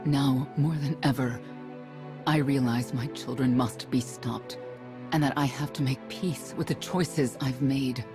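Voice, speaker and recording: A woman's voice: rumbling low, steady tone, measured pace. An actress playing a grave, resolute middle-aged warrior mother in a space saga game, solemn and reflective. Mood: sorrowful, resolute. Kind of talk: scripted game cutscene acting. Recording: music playing underneath.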